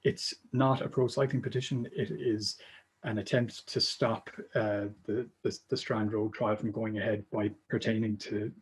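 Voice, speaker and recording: A man speaks at an online meeting in an earnest, halting way with many uhs. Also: fairly low pitch, little pitch movement, breathy edge. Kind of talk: casual spoken appeal. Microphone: video call.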